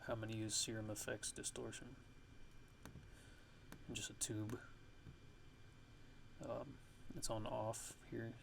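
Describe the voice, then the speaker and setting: Man, gravelly creaky voice, monotone delivery, halting tutorial narration, thinking aloud, noisy recording. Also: on the low side. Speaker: music production tutorial host. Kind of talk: casual software tutorial narration.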